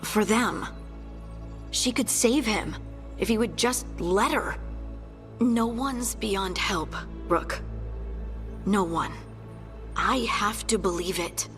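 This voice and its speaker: Game role: compassionate female believer in redemption. Average pitch, sincere and heartfelt, soft music underneath. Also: slow pace, long pauses. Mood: earnest, pleading. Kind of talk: dramatic video game dialogue.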